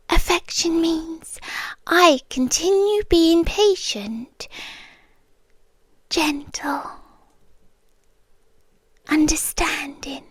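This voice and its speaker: Slow, hushed British yandere girlfriend, acted by a woman. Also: sits high, dry recording, full character voice. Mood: soothing, coaxing. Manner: hushed, often whispering, deliberate pauses. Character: possessive yandere girlfriend, softly menacing captor. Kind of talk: ASMR roleplay, slow intimate delivery. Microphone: close microphone.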